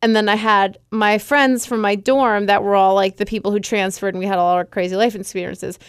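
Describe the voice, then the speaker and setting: A woman co-hosting a casual chat podcast, chatty and quick to laugh. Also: medium pitch, steady tone, clean tone, no echo, clean studio sound. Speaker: podcast co-host.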